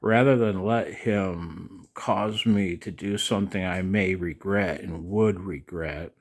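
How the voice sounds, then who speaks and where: An older man talking casually and in a rambling way to his viewers as a video host, folksy and halting, with a gravelly voice. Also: low-pitched. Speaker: amateur radio operator and video host. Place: outdoors in the cold.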